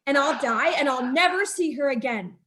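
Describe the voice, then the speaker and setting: A woman narrates a radio horror tale in the first person, her voice high. She sounds tense and haunted, and she climbs toward a frantic edge. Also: lively intonation, normal speed, sharp tone. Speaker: narrator and lead character. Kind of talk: old-time radio drama narration.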